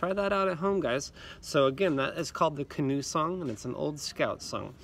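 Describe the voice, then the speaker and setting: Higher-voiced man teaching, noisy outdoor recording. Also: moderate pace, a bit bright. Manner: simple, encouraging explanations for children. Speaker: music teacher. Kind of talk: instructional video talk for students. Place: outdoors in a leafy yard. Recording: outdoor recording with clear ambient noise.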